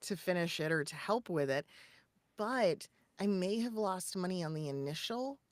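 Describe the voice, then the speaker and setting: Low-pitched woman, dry sound, emphatic advice-giver. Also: expressive, normal speed, no echo. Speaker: podcast co-host and voice-over coach. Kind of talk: casual conversational advice.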